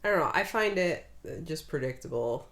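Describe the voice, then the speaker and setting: A woman's voice: sits low, expressive, a little fast, a bit bright. A woman co-hosting a podcast, chatting casually and giving blunt, skeptical opinions. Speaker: podcast co-host.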